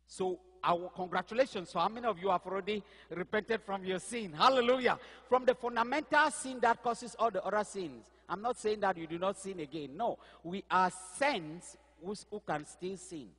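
A man in his fifties whose first language is not English, preaching a sermon on a church stage with a headset mic, animated and gesturing as he searches aloud for words. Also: sits high, moderate pace, hardly any pauses, big-room sound. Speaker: pastor. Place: stage of a large church hall.

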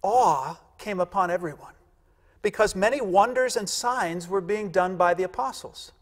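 A man's voice: high-pitched, moderate pace. A man in his fifties, a pastor giving a sermon in a church sanctuary, emphatic and repeating key phrases for weight. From United States. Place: large church sanctuary, indoors. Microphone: lapel mic. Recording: long church reverb.

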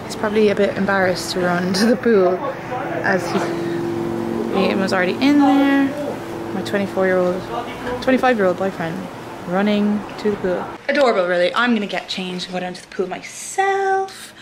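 A woman's voice: mid-range voice, animated, normal speed, heavy background noise. A young woman in her twenties chatting casually to a handheld camera on a road trip, swearing freely and poking fun at herself. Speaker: vlogger. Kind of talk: casual travel vlog. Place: outdoors on a coastal mountain road trip. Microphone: handheld camera at arm's length.

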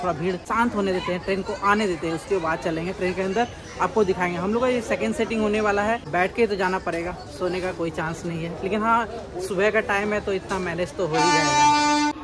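A man in his thirties making a casual travel vlog, chatting and explaining as he films himself with a phone at arm's length on railway platforms and inside a train. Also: sits high. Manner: chatty, explains as he films, mixes in English words. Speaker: travel vlogger. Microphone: phone held at arm's length. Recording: loud crowd and train noise on a phone. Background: crowd noise and train sounds.

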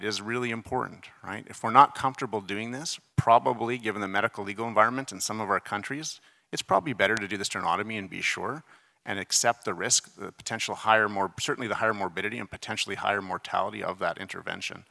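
Middle aged guy giving a conference talk, lowish gravelly voice, chatty but emphatic. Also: fast talker, bright, forceful tone. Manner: conversational, emphatic explaining with asides. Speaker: surgeon giving conference debate presentation. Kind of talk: conference lecture with slides. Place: large conference hall, at a podium. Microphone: podium microphone. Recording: reverberant conference hall PA.

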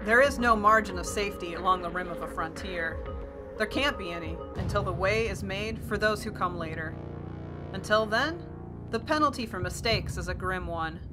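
A woman's voice: medium pitch, lightly acted. A woman playing a wry, matter-of-fact emergency shuttle pilot in an audio drama. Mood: grave, reflective. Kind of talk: audio drama first-person narration and dialogue.

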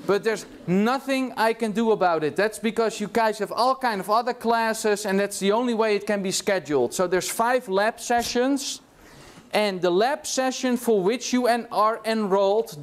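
A man's voice: high voice, normal speed. A middle-aged man from the Netherlands with a Dutch accent, giving a casual university lecture in a large hall and checking in with "yeah?" as he goes. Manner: casual, chatty, tags remarks with "yeah?". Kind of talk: classroom lecture.

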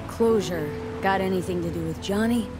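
A woman's voice: mid-range voice, normal speed, natural voice. A woman playing a cool, guarded young street mercenary in a video game, terse, wry and matter-of-fact. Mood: probing, calm. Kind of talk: video game dialogue, understated acting. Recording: game music and guitar behind voice.

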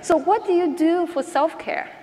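A woman's voice: very high-pitched, normal speed, dark tone. A woman moderating a panel, speaking informally and unscripted to the other speakers. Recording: reverberant hall.

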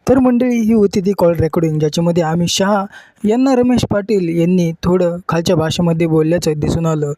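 A presenter narrates a scripted news video. The voice is warm and relaxed, sitting at a middle pitch. It is recorded very dry, with no sense of room. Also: a little fast, no echo.

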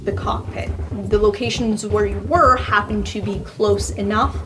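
A woman's voice: medium pitch, steady tone, normal speed, background noise. A woman running a tabletop roleplaying game as game master, narrating scenes on the fly and addressing players.

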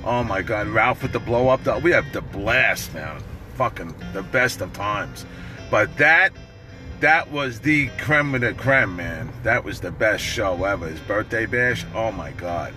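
A middle-aged man with a raspy voice, chatting casually and profanely on a livestream from a parked car, phone held at arm's length. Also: lowish voice, expressive, measured pace, over music. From New York. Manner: loose, profane, chatty, laughing often. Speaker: livestream host.

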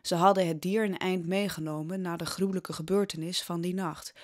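A woman's voice: lowish voice, fairly even intonation, brisk. A woman narrating a historical audio drama as scripted, dramatic storytelling.

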